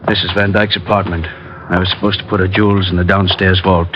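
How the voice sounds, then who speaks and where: Nervous, low-pitched doctor played by a man. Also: little pitch movement, fast talker, noisy background, lightly acted. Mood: defensive, uneasy. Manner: flustered, protesting, formal. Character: nervous, evasive male doctor with criminal past. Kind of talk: 1940s radio drama acting. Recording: muffled old radio recording with loud music.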